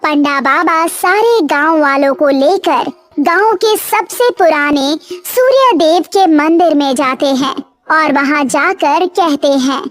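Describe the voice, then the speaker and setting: A woman's voice: sits high, slow pace, no echo. A woman narrating a scripted moral story for children.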